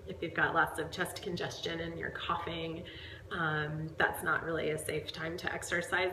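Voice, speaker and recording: A woman's voice: low voice, reverberant, background noise. A woman fitness coach with a head cold and a stuffed-up voice, talking casually to her viewers with lots of fillers. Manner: chatty, rambling, many fillers. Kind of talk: casual talk to camera. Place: at home.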